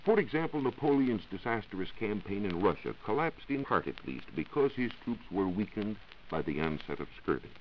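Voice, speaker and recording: A man's voice: on the low side, sing-song, normal speed, hardly any pauses, highs cut off, slight background noise. An American man explaining formally as a science reporter in a scripted 1940s radio broadcast on an old recording.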